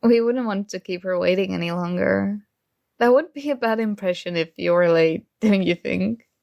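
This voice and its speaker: A woman playing a secretly jealous, teasing roommate in an intimate one-sided ASMR roleplay, with hesitant pauses. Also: mid-range voice, vocal fry, darkish tone, close to an everyday voice. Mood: resigned, hiding hurt. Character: secretly jealous female roommate, teasing, hurt but feigning indifference.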